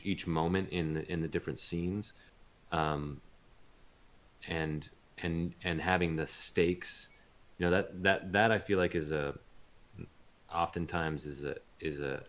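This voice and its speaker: Man, low-pitched voice, casual interview answers, halting, thoughtful, searching for words. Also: fairly even intonation, fairly bright tone. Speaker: voice actor being interviewed.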